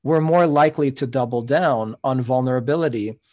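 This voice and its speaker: A middle-aged man whose first language is Spanish, speaking as a podcast guest in an earnest, measured, explanatory way. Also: medium pitch, a little mellow. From New York City. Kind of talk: reflective interview talk.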